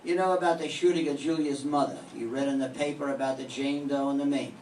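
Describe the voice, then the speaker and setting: A man's voice: medium pitch, fairly even intonation, fast talker, a little mellow, close to an everyday voice. Older man playing a hard-boiled male private eye, clipped, dry, 1940s radio play, echoey room. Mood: needling. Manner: clipped, dry tough-guy delivery. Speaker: amateur radio drama student. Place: classroom. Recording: roomy classroom from a distant mic, faint hiss.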